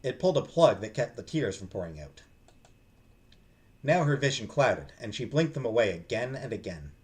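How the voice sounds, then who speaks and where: A man's voice: mid-range voice, very animated, normal speed. A man narrating a visual novel aloud in a dramatic storytelling style as a game streamer. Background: music.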